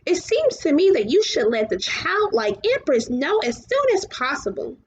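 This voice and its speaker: Lady doing a sweet earnest boy dragon from a storybook, high and swoopy, bit noisy. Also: wildly swooping pitch, normal speed. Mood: earnest, coaxing. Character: gentle, earnest luck dragon companion, male. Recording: muffled, clearly noisy home recording.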